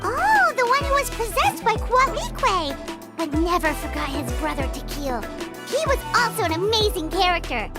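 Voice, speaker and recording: A woman voicing a small, excitable, childlike fairy companion in a video game, exclamatory and talking about herself in the third person. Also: very high voice, normal speed, over loud music. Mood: enthusiastic.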